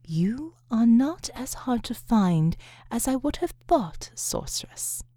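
A woman voicing an ancient, calculating general's soul in a woman's body for an audiobook, hushed, quiet and menacing. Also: on the high side, very animated, slow, no room sound, clean studio sound, full character voice. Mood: cool, mocking. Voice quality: hushed, whispery. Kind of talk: audiobook character voice.

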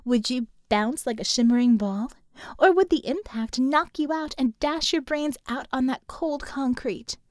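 A woman's voice: high-pitched, measured pace, darkish tone, no echo, studio quality. Woman playing a mocking, menacing female villain. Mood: mocking, sweet menace. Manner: mocking, threatening.

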